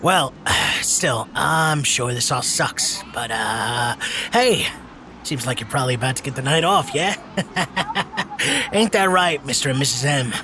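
A man's voice: medium pitch, lively intonation, takes it slowly, noisy background. An actor playing a brash, smarmy personal trainer and spokesman in an audio drama, with glib, over-friendly, chuckling patter. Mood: chummy.

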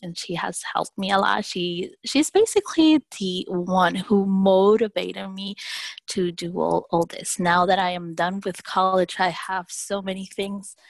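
A woman's voice: medium pitch, measured pace, gravelly, dry recording, low-quality recording. A woman in her thirties from Peru, whose first language is Spanish, answering interview questions thoughtfully with hesitations and restarts. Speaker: podcast guest.

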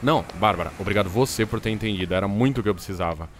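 A friendly, appreciative businessman, acted by a man with a Brazilian accent, talking quickly in a lowish voice. Faint ambience sits behind this audio drama dialogue. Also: slight background noise, lightly acted. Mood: grateful. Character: appreciative, friendly businessman, adult male client.

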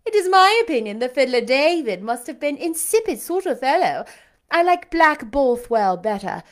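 A woman's voice: high-pitched, sing-song, moderate pace, voice pushed up. A woman reading aloud, voicing a proud, scornful young aristocratic lady who talks in an imperious, showy way. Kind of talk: audiobook character reading.

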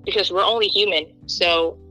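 A woman's voice: lowish voice, moderate pace, faint music behind, poor audio. A young woman from Kenya as an interview guest, speaking in an earnest, emphatic way. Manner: earnest, emphatic, animated when quoting others. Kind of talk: candid interview talk.

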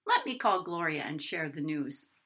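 Woman voicing groggy story heroine, bemused, incredulous, bright tone, lively intonation. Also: sits low, normal speed, husky, full character voice. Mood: musing. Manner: droning, incredulous. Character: groggy, bemused woman, not a morning person.

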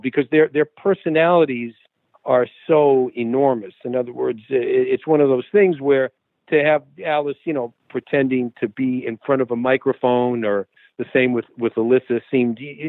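A man's voice: medium pitch, dry recording. A male guitarist, the guest in an interview, telling stories in a loose, rambling way full of restarts and fillers over the phone. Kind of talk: casual interview talk. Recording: tinny phone line.

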